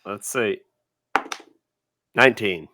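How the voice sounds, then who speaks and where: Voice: mid-range voice, takes it slowly, noisy background. A tabletop game player bantering casually at the table.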